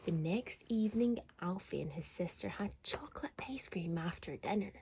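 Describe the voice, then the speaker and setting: Woman reading kids a bedtime story, real mellow voice, expressive, bit of background noise. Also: on the low side, normal speed, gravelly. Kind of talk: children's bedtime story narration.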